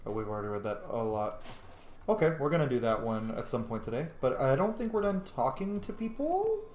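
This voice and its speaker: A man's voice: mid-range voice, sing-song, brisk, a little mellow, a little hiss or hum. A man giving informal, sweary let's-play commentary, thinking aloud as he plays. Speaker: video game player and commentator. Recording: echoey room.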